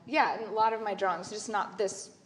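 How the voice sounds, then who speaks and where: A woman artist talks on a discussion panel. Her pitch sits in the middle, and she rambles casually, circling back and restarting her sentences. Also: steady tone, fairly quick.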